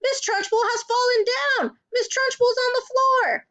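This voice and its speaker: A woman's voice: high-pitched, very animated, normal speed. A woman voicing a flustered young schoolboy who cries out urgently and shrilly. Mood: shrill excitement.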